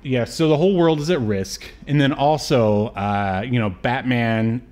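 A man with a medium-pitched voice co-hosts a relaxed podcast about films from a room at home. He jokes along as he goes and tends to halt and restart his thoughts mid-sentence. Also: unhurried. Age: late 30s. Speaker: podcast co-host. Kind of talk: casual movie discussion, joking. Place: home room on a video call.

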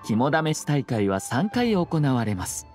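An actor playing a composed young nobleman in an anime video game, speaking with polite, formal, measured phrasing and a subtly teasing slyness. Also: mid-range voice, very animated, music in the background. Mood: composed explaining.

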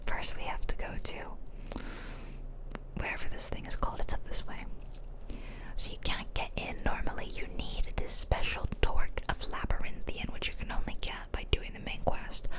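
A woman's voice: normal speed, low-quality recording. A woman giving casual, chatty ASMR commentary over video game play, rambling along as she goes. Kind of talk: whispered ASMR gameplay commentary.

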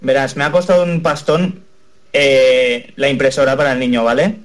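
A man's voice: mid-range voice, steady tone, normal speed, lightly acted. A man with a Spain accent playing a polite, flustered father in a prank call, hesitant and rambling. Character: polite, flustered father calling tech support.

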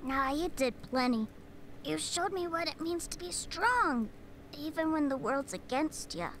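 An earnest young village boy in a game, speaking in a folksy drawl. The voice sits high, slow and bright, with faint rumble behind it. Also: expressive, rough recording. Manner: folksy, drops g's. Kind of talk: game cutscene dialogue.

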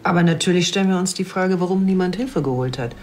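An actor playing a formal, measured police officer questioning people in a TV crime comedy. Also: male voice, high voice, close to an everyday voice.

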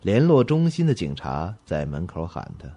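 Male suspense narrator, expressive storyteller, lowish and very animated. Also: normal speed, lo-fi sound. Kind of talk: dramatic scripted narration.

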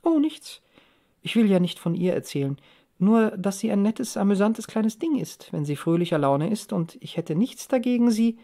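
A woman acting an excited, gossipy young woman, higher-pitched. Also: moderate pace, vocal fry. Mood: chatty. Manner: eager, chatty, emphatic. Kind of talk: audiobook character dialogue.

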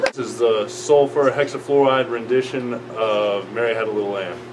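A man's voice: mid-range voice, fairly even intonation, moderate pace. A young man, a student, casually introducing himself to a camera in a school lab. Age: young adult. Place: school science lab, indoors. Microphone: camera a few feet away. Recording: noisy classroom, camera mic.

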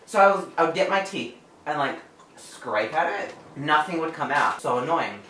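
A man's voice: fairly high pitch, expressive, normal speed, sharp tone. A young man chatting casually in a bedroom vlog, rambling with lots of "like". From Australia. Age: young adult. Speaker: vlogger. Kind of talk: casual chat. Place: small bedroom at night. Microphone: far camera. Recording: roomy, distant, noisy camera audio.

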